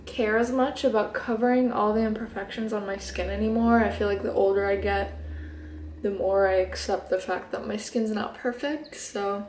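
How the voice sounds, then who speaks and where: A woman's voice: mid-range voice, fairly even intonation, normal speed, a little mellow, a little hiss or hum. Sleepy laid-back young woman, Hebrew native. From Israel. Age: 20s. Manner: laid-back and chatty, many ums and likes. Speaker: beauty vlogger. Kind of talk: casual get-ready-with-me chat. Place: bedroom, indoors. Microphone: camera at close desk distance. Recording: echoey bedroom recording.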